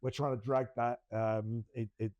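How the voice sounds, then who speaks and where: A man's voice: mid-range voice, level tone, moderate pace, no room sound, muffled recording. A middle-aged man, co-hosting a casual podcast and rambling through many restarts with self-deprecating laughs. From England. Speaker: podcast co-host. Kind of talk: casual chat.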